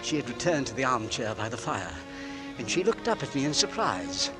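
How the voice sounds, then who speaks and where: An actor playing an anxious, suspicious husband in a 1940s radio drama, melodramatic and fraught, swinging between hushed and frantic. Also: male voice, mid-range voice, very animated, normal speed, natural voice, poor audio. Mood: grave, strained. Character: anxious suspicious husband, an Englishman, secretly dreading his poisoner wife. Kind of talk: 1940s radio drama acting, with first-person narration. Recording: vintage 1940s radio recording with music.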